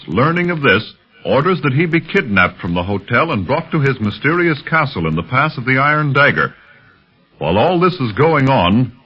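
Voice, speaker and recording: A man's voice: mid-range voice, husky. A man narrating an adventure serial in dramatic 1930s radio announcer style. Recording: muffled vintage radio recording over music.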